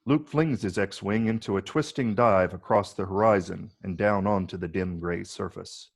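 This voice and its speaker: Brief: male narrator for an action screenplay, lowish pitch, husky and creaky, reads stage directions. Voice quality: gravelly, husky.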